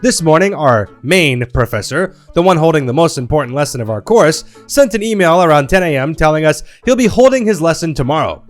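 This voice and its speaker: A man with a slightly high voice reads online stories aloud. His pitch swings widely, and his delivery is playful and wry. Soft music plays quietly underneath. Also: a little fast, few pauses. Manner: lively, playful storytelling with a wry tone. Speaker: narrator reading Reddit stories. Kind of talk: animated reading of online stories.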